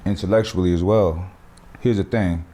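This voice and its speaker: A man with a low, dark voice holds forth on his podcast. He speaks in an animated, emphatic way, full of street slang and cursing. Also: normal speed. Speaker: podcast host. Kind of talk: casual podcast conversation.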